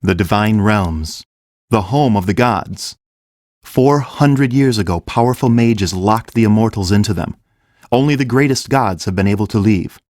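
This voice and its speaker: A man playing a kind, grave fantasy king in a full-cast audiobook, speaking in a gentle, measured, earnest way. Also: on the low side, no room sound, clean studio sound, lightly acted.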